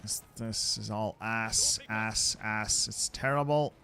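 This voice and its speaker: A man's voice: on the low side, takes it slowly, pushed and bright, smooth, soft background music. A young Dutch man narrating his strategy game play, muttering and swearing in frustration as things go wrong. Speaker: gaming YouTuber.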